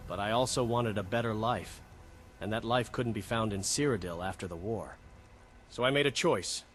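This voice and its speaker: A man playing an earnest wood elf companion in a fantasy game, speaking in a measured, reflective way. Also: on the low side, a little hiss or hum, natural voice. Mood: earnest, wistful. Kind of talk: scripted game companion dialogue. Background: game music behind the voice.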